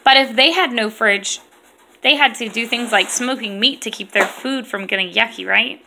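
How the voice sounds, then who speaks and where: A woman, a mother, reading aloud and chatting with young children in an expressive, encouraging way and simple phrasing. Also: fairly high pitch, moderate pace, creaky, noisy background. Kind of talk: read-aloud storytelling mixed with casual chat with children.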